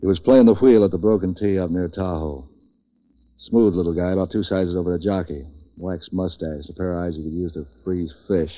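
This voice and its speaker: An actor playing a streetwise, wisecracking man for hire in 1940s radio noir, terse and deadpan. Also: low-pitched, fairly quick, close to an everyday voice. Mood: blunt, cool. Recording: muffled vintage radio recording.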